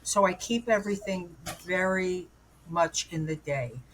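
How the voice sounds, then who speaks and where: A woman's voice: fairly low pitch, measured pace, slight background noise, rough recording. Rambling, candid woman in her seventies. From New York. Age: 70s. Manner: candid, rambling, frequent fillers and restarts. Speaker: guest. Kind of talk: personal story in interview.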